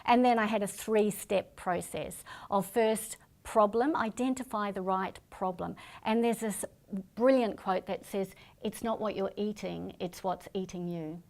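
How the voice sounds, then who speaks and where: A middle-aged woman, a speaker and trainer, answering interview questions in a calm, explanatory way. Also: medium pitch, a little slow, creaky. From New Zealand family, born in Singapore. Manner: calm, explanatory, self-promoting.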